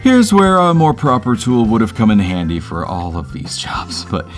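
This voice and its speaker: A man's voice: mid-range voice, wildly swooping pitch, moderate pace, soft background music. A man hosting a do-it-yourself video with casual, wry, self-deprecating voice-over narration.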